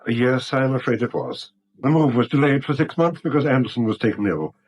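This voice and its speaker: An actor playing a brisk, self-assured bank manager in a radio drama, measured, formal and firm, on a dull vintage recording. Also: male voice, mid-range voice, expressive, close to an everyday voice. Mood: calm, firm. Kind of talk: 1970s radio drama acting.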